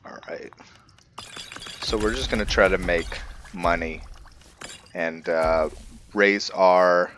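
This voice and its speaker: A man's voice: sits low, slow, long pauses, a bit bright, slight background noise. A man giving casual gameplay commentary, thinking aloud and musing to himself as he goes. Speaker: gameplay video host.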